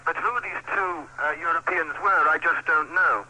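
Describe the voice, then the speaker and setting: A male news correspondent reports live down a telephone in a high, very bright and cutting voice. He is halting and flustered, often repeating his words as he goes. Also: rough recording. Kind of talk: live unscripted phone report.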